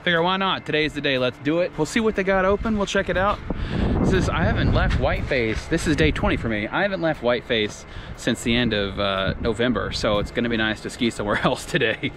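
A man in his thirties vlogging outdoors on a ski mountain, chatting casually into an action camera and whooping with excitement as he skis. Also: medium pitch, quick pace, noisy background. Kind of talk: casual vlog narration while skiing. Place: outdoors on a ski mountain, parking lot and chairlift. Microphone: action camera held or chest-mounted.

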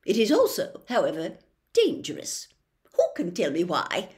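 A brisk storybook schoolmistress, played by a woman. She teaches with emphatic approval, her pitch leaping about in lively fashion. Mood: instructive. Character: brisk, approving female herbology professor. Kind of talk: dramatized storybook reading.

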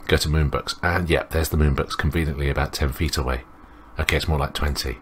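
Very deep-voiced man reading a game aloud. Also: fairly even intonation, fast talker, a bit bright, slight background noise. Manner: rambling, self-interrupting. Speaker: let's play host. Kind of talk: reading a visual novel aloud with off-the-cuff commentary.

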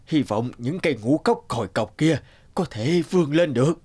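An actor playing a meek, bumbling old god in an animated fairy tale, hesitant, fretful and apologetic. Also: male voice, on the high side, moderate pace, breathy edge. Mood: resigned. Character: bumbling, flustered old male sower god, meek servant. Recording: music behind the voice.

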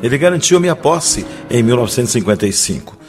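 An assured statesman, played by a man in a radio drama, speaks in a deliberate way at a medium pitch. Faint guitar music is heard behind him. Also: very animated, natural voice. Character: confident former male president, statesman. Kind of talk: amateur radio drama acting.

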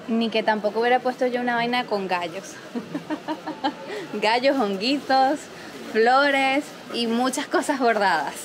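A woman's voice: on the high side, noisy background. Chatty Venezuelan woman vlogging. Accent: Venezuelan Spanish. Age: late 20s. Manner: chatty, playful, teasing. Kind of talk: casual vlog chat to camera. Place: shop aisles, then a small living room. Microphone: handheld camera at arm's length.

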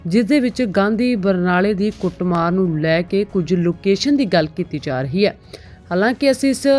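A woman's voice: mid-range voice, darkish tone. A woman presenting scripted news as a presenter.

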